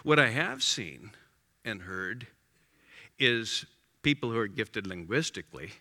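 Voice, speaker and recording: Lively, bright-voiced male preacher. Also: fairly low pitch, unhurried, in a large hall. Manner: emphatic, rising to loud exclamations. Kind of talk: sermon.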